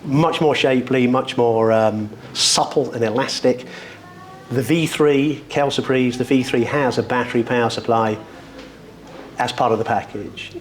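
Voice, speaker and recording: A man's voice: medium pitch, unhurried, a little hiss or hum. A British man presenting products at a hi-fi show, talking off the cuff in an articulate, discursive, wryly enthusiastic way with florid wording. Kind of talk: unscripted product demonstration talk.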